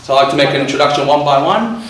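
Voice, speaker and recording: A man's voice: on the high side, expressive, very fast, fairly bright tone, slight background noise. Hesitant man hosting a forum in a hall. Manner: hesitant, many ums and uhs. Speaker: forum moderator. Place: community forum venue. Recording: very echoey hall, mic at a distance.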